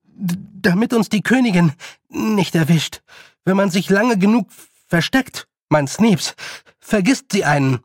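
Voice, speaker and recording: A man's voice: high voice, normal speed, slightly rough, dry recording, studio quality, pitched above the performer's own voice. A man voicing a frightened, stammering boy in a storybook reading, urgent and short of breath. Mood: anxious, insistent. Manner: panting, anxious, pleading.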